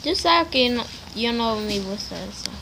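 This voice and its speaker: A young girl giving casual commentary while playing a game on a tablet, chatty and quick to react loudly to other players. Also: fairly high pitch, lively intonation. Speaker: gamer. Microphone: tablet microphone. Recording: loud background noise.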